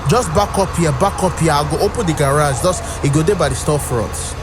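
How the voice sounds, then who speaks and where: An actor as a higher-pitched young male informant. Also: a little fast, music in the background, close to an everyday voice. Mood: casual, welcoming. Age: 20s. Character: friendly male informant and government agent. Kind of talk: audio drama dialogue.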